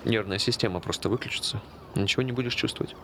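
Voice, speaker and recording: An actor playing a dry, sardonic friend who provokes and teases in laconic, casual stage dialogue. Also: male voice, fairly low pitch, fast, bright, forceful tone. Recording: clear ambient background noise.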